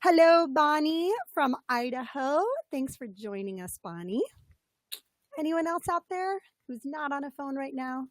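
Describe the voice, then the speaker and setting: A woman with a slightly scratchy, fairly high voice leads a webinar as a coach. She talks in an upbeat, chatty way and greets people by name. The sound is dry and close. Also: animated, a little slow, no room sound. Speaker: business coach presenting a webinar. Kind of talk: interactive webinar talk.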